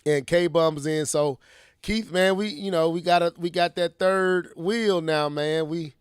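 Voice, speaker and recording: Stuffy, animated male stream host. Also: on the high side, unhurried, clear, resonant tone, no echo, studio quality. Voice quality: scratchy. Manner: animated, slangy, laughs often. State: stuffy, congested. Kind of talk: casual gaming news chat. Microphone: studio earphones with mic.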